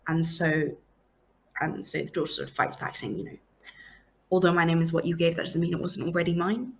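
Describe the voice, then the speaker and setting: A young woman from Hong Kong talks through her poems in a lowish voice with a gravelly edge. She is chatty and quick, full of "um" and "like". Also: steady tone, a little hiss or hum. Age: young adult. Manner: chatty, quick, frequent fillers and likes. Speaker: poet. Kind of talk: casual talk about her poems.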